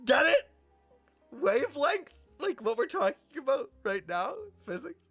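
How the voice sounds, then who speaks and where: A brash streamer rambles through an explanation in a casual, theatrical way, playfully scolding the chat with plenty of swearing. The pitch swings widely and the words are drawn out slowly. The room sounds echoey, with faint music behind. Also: sing-song, a bit bright. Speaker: streamer reacting to a video game story.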